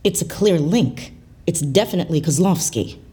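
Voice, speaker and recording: Brusque female spy for audio drama, played by a woman: slightly low voice, clipped, dry, guarded. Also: expressive, normal speed, warm tone, lightly acted. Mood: deliberate. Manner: curt, dry, blunt. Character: brusque, evasive female CIA agent abroad.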